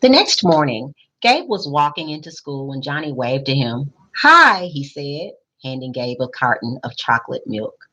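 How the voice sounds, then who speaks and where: Low-voiced, husky woman reading aloud. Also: lively intonation, fairly bright tone, muffled recording. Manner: teacherly, pausing to question young listeners. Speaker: school principal reading aloud to children. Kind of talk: expressive picture-book read-aloud with questions to listeners.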